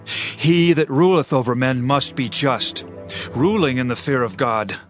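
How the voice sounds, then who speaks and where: A man's voice: mid-range voice, close to an everyday voice. A man playing an aging, devout psalmist king in a dramatized scripture reading, declaiming with solemn reverence.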